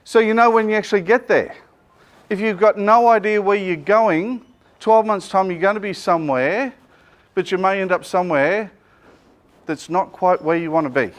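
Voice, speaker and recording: A middle-aged Australian man, a business coach, running a joking, energetic seminar and quizzing his audience, speaking without a microphone to the room. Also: high voice, normal speed. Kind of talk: lively workshop presentation to a live room.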